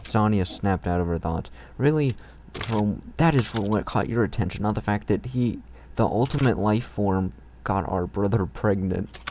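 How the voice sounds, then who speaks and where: A man's voice: fairly low pitch, normal speed, a little mellow, slight background noise. A man reading fan fiction aloud in a mocking, irreverent way, tossing in crude comments of his own.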